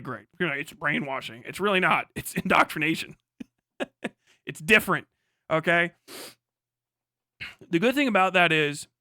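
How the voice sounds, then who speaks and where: A man's voice: fairly high pitch, bright, forceful tone, clean tone, no room sound, clean studio sound. An American man giving casual, off-the-cuff livestream commentary in a rambling, sardonic, profane way. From United States. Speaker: live streamer, political commentator.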